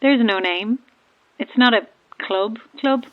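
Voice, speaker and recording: A woman's voice: on the high side, slow pace. Stranded boat woman on narrow, tinny marine radio: chatty yet cryptic, knowing ghost believer, audio horror drama. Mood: knowing, teasing. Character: eerie, self-aware female ghost believer calling from stranded boat. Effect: radio transmission filter. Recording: thin, band-limited marine radio.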